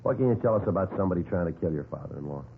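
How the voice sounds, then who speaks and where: Casting: dogged homicide cop, deep, rapid, flatly courteous delivery, tinny old-radio sound. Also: male voice, vocal fry. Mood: probing. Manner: clipped, deadpan, polite. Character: polite, dogged male police homicide detective, businesslike. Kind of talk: 1950s radio acting, deadpan questioning. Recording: thin, faintly noisy vintage radio recording.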